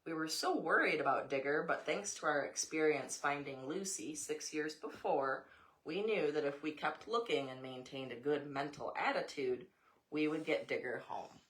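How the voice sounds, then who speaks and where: A woman's voice: low voice, moderate pace, fairly bright tone, low-quality recording. An American woman reads a children's picture book aloud, adding informal asides to a young child. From United States. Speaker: storybook reader, mother.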